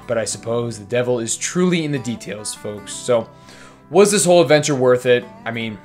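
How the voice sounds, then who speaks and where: A man's voice: medium pitch, expressive, normal speed, darkish tone, soft background music. A young man narrating a vlog in voice-over, candid and self-deprecating as he looks back on his mistakes. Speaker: fishing vlogger.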